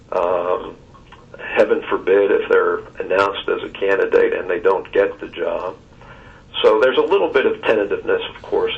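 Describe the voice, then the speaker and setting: A man giving a formal briefing on a conference call in a halting, deliberate and measured way, with long hesitations. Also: sits low, gravelly. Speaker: search consultant reporting to a committee. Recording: dull, distant conference phone line.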